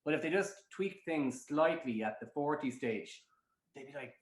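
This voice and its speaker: A man's voice: a little high, lively intonation, normal speed, roomy sound. An Irish man talking bluntly and frankly as a guest on a casual podcast, rambling with many restarts. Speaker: matchmaker guest.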